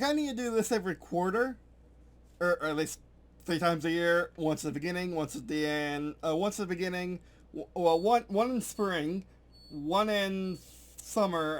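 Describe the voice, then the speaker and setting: A man's voice: high voice, a bit bright. A man casually thinking aloud at his computer as he reworks his game rules, rambling and correcting himself as he goes. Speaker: game designer streaming his work.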